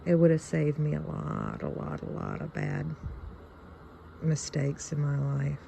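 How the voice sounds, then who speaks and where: A woman in her fifties with a Southern US accent, chatting reflectively and haltingly to her viewers. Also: sits low, wildly swooping pitch, vocal fry, background noise. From Louisiana, United States. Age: fifty-one. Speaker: video channel host. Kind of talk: casual reflective chat to viewers.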